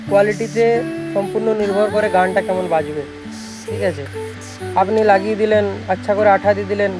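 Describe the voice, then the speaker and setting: A man with a West Bengal Bengali accent, narrating a casual vlog as a repair technician, informal and given to repeated tag phrases. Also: sits high. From West Bengal, India. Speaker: speaker repair technician and channel host. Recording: music playing behind the voice. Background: music.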